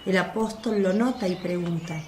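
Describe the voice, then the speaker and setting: A woman's voice: lowish voice, normal speed, a little mellow. A woman reading scripted narration for a devotional radio play in Spanish-language storytelling style. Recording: echoey, faint noise with birdsong. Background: birdsong and outdoor ambience.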